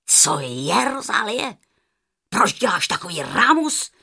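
A woman's voice: a little high, big pitch swings, bright, forceful tone, full character voice. A woman voicing a grumpy old aunt from a children's story, snappish and scolding. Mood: irritated.

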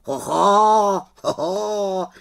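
A woman's voice: mid-range voice, vocal fry, voice pushed up, lightly acted. A woman voicing a remorseful, devoted talking raven in a storybook reading. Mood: amazed. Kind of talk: storybook character voice.

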